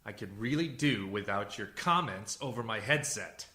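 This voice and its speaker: A man's voice: lowish voice, lively intonation, moderate pace, background noise, lightly acted. A man acting in a radio play as a blunt, self-assured civilian interrogator and psychologist who talks in a dry, cutting way. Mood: irritated, cutting. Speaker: actor.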